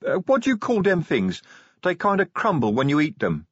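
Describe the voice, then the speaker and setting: A man's voice: a little high, huge pitch swings, normal speed, slightly rough, no room sound, dull audio, voice pushed up, full character voice. A man voicing a skinny, dim-witted, drug-addled troll in a fantasy audiobook, with hesitant, rambling, ungrammatical speech. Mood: befuddled.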